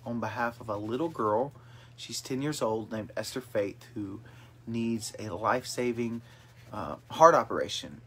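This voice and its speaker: A man's voice: medium pitch, slightly rough. Upbeat young man reading viewer comments. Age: 20s. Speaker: host of a family livestream chat. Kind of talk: casual chat. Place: small bedroom with brothers on a couch. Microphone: phone camera held at arm's length.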